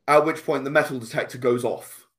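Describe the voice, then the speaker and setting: A man speaking as an interview guest, explaining and storytelling conversationally, halting and repeating words as he goes. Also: medium pitch, steady tone. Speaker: podcast guest, comic writer.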